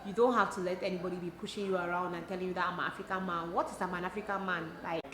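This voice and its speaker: A woman with a Cameroonian accent hosting a casual advice vlog, talking in an animated, emphatic way and often posing rhetorical questions. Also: fairly low pitch, rapid-fire, a crowd in the background. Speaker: host of a YouTube channel. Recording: echoey room, clear background noise.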